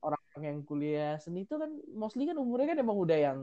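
A young man with a Jakarta Indonesian accent and a fairly high voice. He hosts in a casual, slangy way, readily agreeing and reacting. The sound is somewhat muffled and lo-fi. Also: very animated, fast talker, warm tone. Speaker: podcast host. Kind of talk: casual chat. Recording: dull, muffled lo-fi audio.

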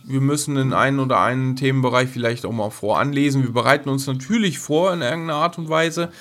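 Guy co-hosting a chill podcast, average pitch, loose colloquial talk with english slang mixed in. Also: fast talker, a bit bright. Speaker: podcast co-host. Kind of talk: casual unscripted podcast chat.

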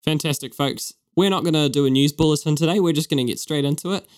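A man's voice: a little high, fast, clear, resonant tone. A young man from New Zealand with a New Zealand accent, co-hosting a podcast in a chatty, upbeat, joking way. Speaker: podcast co-host. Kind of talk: casual chat.

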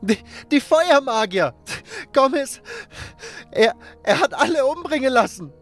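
A man's voice: extremely high pitch, big pitch swings, fairly bright tone, faint music behind, pitched above the performer's own voice, heavily acted. A man voicing a young male mage in an audiobook, panicked and grief-stricken, stammering as he speaks. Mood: hysterical. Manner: stammering, hysterical, halting. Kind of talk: audiobook character acting.

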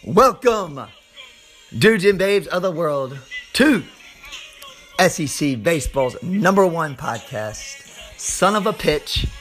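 A man with a Southern US accent and a slightly hoarse voice, hosting an informal sports podcast like a hyped showman, stretching words and repeating phrases. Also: on the high side, lively intonation, slow, long pauses, a bit bright, faint music behind. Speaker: podcast host.

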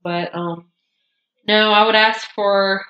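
A woman's voice: mid-range voice, fairly even intonation, slow, a bit bright, highs cut off. Congested woman chatting in an echoey room. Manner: rambling, self-interrupting, laughs often. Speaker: podcast co-host. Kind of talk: casual chat.

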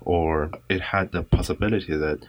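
A man's voice: low voice, fairly even intonation, normal speed, muffled recording. A young adult man from China reflecting casually on his personal life as an interview guest.

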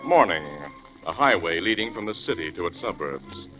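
A man's voice: fairly low pitch, animated, moderate pace, close to an everyday voice, low-quality recording. A man with an American accent announcing and narrating a 1940s radio drama in a polished, formal way. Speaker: announcer and story narrator for a sponsored radio show. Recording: vintage radio broadcast with music.

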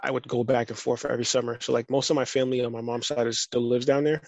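A man in a casual podcast conversation, talking in a relaxed, rambling way with lots of fillers. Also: mid-range voice, fast, gravelly, dry recording, muffled recording. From United States. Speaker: guest.

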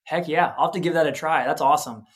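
A man's voice: on the high side, fast talker. A man in his early thirties hosting an interview podcast, talking in an upbeat, casual way. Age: 30s. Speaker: podcast host.

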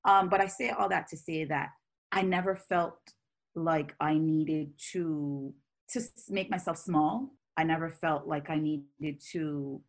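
A woman speaks in a voice that sits very low for a woman. She is a scholar guest in an interview, outspoken and animated, running through long, connected thoughts. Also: a little slow. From Barbados. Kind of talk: conversational interview.

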